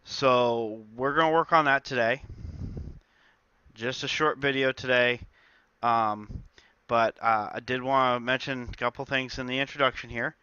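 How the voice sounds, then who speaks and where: A man's voice: mid-range voice, steady tone, measured pace, bright, forceful tone, clean tone, dry recording, highs cut off. A man with a slight cold, chatting casually through his game developer diary with lots of filler words. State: stuffed up with a cold. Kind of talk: casual dev diary talk.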